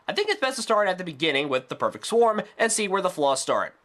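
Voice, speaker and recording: A man narrates a critical video review with a bright, forceful voice. His pitch swings widely as he rants, sarcastic and exasperated throughout. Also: very animated, quick pace, faint music behind.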